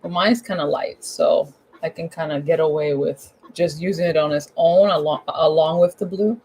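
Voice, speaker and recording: Hoarse woman teaching painting casually. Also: low-pitched, steady tone, normal speed, a little mellow. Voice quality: hoarse, husky. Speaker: painting instructor. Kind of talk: casual step-by-step art tutorial.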